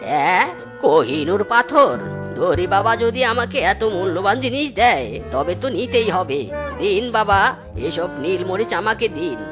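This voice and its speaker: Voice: mid-range voice, lively intonation, normal speed, music in the background, natural voice. A performer voicing a stingy, blustering, gullible animal husband in a Bangla comedy cartoon, scolding and exclaiming. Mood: greedy, gullible.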